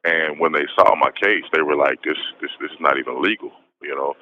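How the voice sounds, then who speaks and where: A man's voice: very deep voice, a little fast, pushed and bright. An American man giving a casual personal account over a muffled, noisy phone line. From west Texas, United States. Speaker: interview guest, prisoner calling in. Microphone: telephone call from prison.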